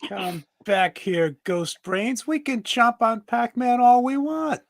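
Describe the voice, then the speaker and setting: A man playing a gruff, scornful cartoon ghost gang boss, sneering and tough-talking in a table read. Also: very high-pitched, slow pace, heavily acted. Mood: menacing.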